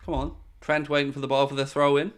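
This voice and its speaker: Smooth-voiced man commentating a live match. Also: on the high side, fast talker. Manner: excitable, jokey, addresses viewers directly. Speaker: host of a live football watchalong.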